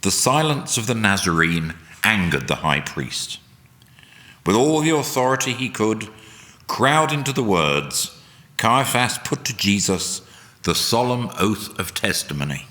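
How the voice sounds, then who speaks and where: Slow, bright-voiced man in a reverberant hall. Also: lowish voice, lively intonation. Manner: deliberate, emphatic oratory. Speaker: reader at a church service. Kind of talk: solemn dramatic scripted narration.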